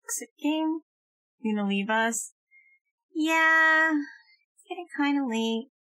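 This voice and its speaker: A woman's voice: high-pitched, slow, sharp tone, no echo. A woman livestreamer chatting casually as she plays a game, on a close mic. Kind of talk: casual game stream chat.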